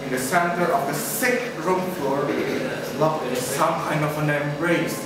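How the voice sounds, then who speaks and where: A teenage boy reading the narration for a school readers theater, steady and even. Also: on the high side, measured pace. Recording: echoey classroom, distant mic, loud noise.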